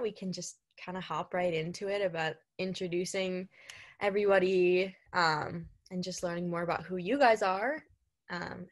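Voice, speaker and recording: A woman hosting a podcast, interviewing guests in a casual, chatty style. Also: lowish voice. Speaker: podcast host.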